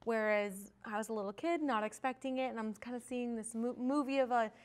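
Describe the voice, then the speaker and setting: A woman's voice: fairly high pitch, a little fast. A woman co-hosting a casual film chat in a playful, informal manner. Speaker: podcast co-host.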